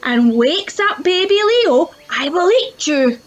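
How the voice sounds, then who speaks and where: A woman's voice: extremely high pitch, very animated, takes it slowly, highs cut off, soft background music, full character voice. A woman voicing a grumpy, hungry father lion in a storybook reading, grumbling and sulking. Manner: grumbling, sulky, whispery threats. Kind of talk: storybook read-aloud.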